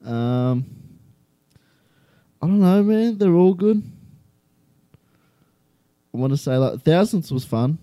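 Young Australian man, relaxed mumbly chatter, mellow voice, dry mic. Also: on the high side, slow, pauses often, dry recording. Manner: laid-back, mumbly, easygoing slang. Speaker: guest skydiver. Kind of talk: casual chat.

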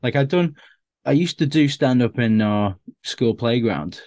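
A man in his thirties from Liverpool, a stand-up comedian with a softened Scouse accent, chatting in an interview in a self-deprecating, rambling way with lots of restarts. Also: medium pitch, animated, unhurried, dry recording. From Liverpool, England. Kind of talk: casual interview chat.

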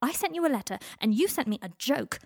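A woman's voice: high-pitched, expressive, quick pace, creaky, darkish tone, dry recording, clean studio sound, pitched above the performer's own voice, heavily acted. A woman voicing a stubborn young man who is angry and desperate, talking bluntly and raising his voice. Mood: upset.

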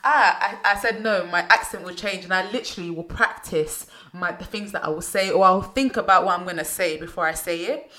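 A woman's voice: lowish voice, a little fast, hardly any pauses, pushed and bright. A woman from Nigeria, hosting a casual chat and telling her stories with animation. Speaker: host.

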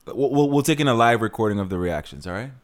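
A male podcast co-host with an easygoing, repetitive way of talking. His voice is lively and moves around a lot in mid pitch. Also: fast talker, soft background music. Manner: easygoing, repeats himself, laughs often. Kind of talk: casual banter.

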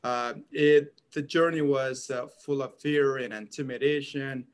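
A man's voice: medium pitch, fairly even intonation, a little slow. A man, answering interview questions earnestly with many fillers and repeats. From Afghanistan. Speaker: interview guest, author.

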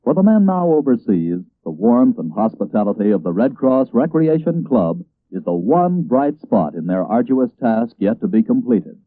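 A man announcing on 1940s radio, reading a formal scripted appeal with strongly varied, emphatic intonation. His mid-pitched voice comes through a dry, narrow-band vintage broadcast. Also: very animated, normal speed, few pauses, no room sound.

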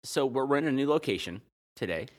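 A man's voice: medium pitch, lively intonation, quick pace. A man co-hosting a podcast, a teacher who teases his partner and explains science facts. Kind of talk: casual chat.

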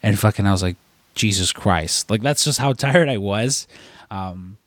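A man's voice: on the low side, measured pace, dry recording, clean studio sound. A young man chatting casually as a podcast co-host. He is congested from a cold and rambles with lots of fillers and swearing. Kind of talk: casual chat.

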